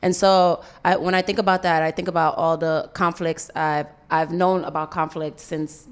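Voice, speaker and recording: A woman's voice: sits low, level tone, normal speed, smooth. A woman from Senegal who grew up partly in the Bronx, giving a casual, earnest and reflective radio interview as a musician in a studio. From Senegal, then the Bronx, USA.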